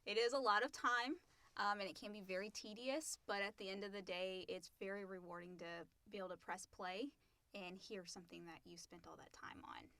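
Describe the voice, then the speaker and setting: A woman's voice: mid-range voice, fairly even intonation, moderate pace, gravelly, pushed and bright, dry recording. A woman co-hosting a podcast, chatting casually and reading questions aloud.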